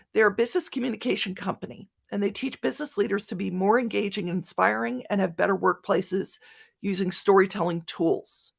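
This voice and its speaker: A woman's voice: medium pitch, vocal fry, a bit bright. A woman giving an informal online conference talk in a chatty, self-deprecating way, with joking asides. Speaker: conference speaker, writer and podcaster. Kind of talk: informal conference presentation and question answering.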